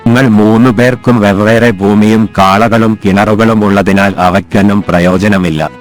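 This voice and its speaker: Man acting a low-voiced wise old grandfather. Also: fairly even intonation, normal speed, lightly acted. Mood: advising. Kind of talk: animated moral story acting. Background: background music.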